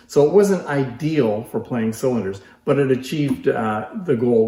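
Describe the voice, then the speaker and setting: A man's voice: mid-range voice, dark tone. Man demonstrating in an echoey room. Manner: informal, explanatory. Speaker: presenter showcasing antique phonographs. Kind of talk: casual product demonstration.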